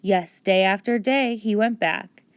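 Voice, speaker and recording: A woman reading a storybook aloud with expressive children's narration. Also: mid-range voice, unhurried, smooth, dry recording. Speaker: storybook reader.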